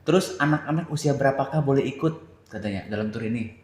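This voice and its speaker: A man with an Indonesian accent casually moderating a group question session, teasing and quick to chuckle. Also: medium pitch, darkish tone. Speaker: moderator of a tour briefing. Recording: echoey room pickup.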